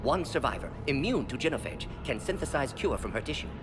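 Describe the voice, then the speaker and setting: An actor playing a brisk, brilliant aging alien scientist in a video game, speaking in clipped, telegraphic phrases that drop small words. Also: male voice, medium pitch, very animated, close to an everyday voice. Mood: urgent, clinical. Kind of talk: video game cutscene acting. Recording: long hall reverb, music behind.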